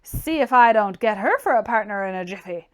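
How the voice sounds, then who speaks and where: A woman's voice: mid-range voice, expressive, a little fast, lightly acted. A woman voicing a blunt, opinionated, scornful young tradesman in an audiobook, with a brusque, mocking delivery and emphatic exclamations. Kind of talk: dramatized audiobook character reading.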